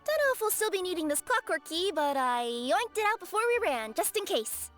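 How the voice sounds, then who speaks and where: A woman's voice: very high voice, sing-song, fairly quick, a bit bright, faint music behind, exaggerated voice. A woman voicing a young, plucky, sweet adventurer in a video game. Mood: relieved.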